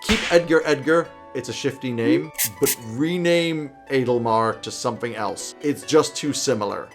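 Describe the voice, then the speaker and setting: A man in his thirties giving a conversational explainer to camera as a host, with an animated, casual, dryly humorous manner. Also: mid-range voice, moderate pace, vocal fry, faint music behind. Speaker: tabletop roleplaying game advice host. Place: small home office, indoors.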